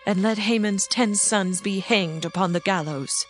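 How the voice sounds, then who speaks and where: A woman's voice: medium pitch, measured pace, soft background music, close to an everyday voice. A woman playing a polite young queen. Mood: petitioning. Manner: polite and formal. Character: respectful young queen petitioning a king. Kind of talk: dramatized scripture reading.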